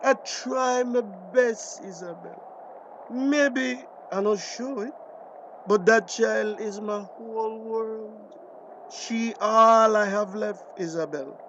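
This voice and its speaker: An actor playing a guilt-ridden, worried older grandfather in a screen drama, halting and repeating himself, with a Belizean accent. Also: high-pitched, a bit bright, muffled recording, slight background noise. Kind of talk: acted screen drama dialogue.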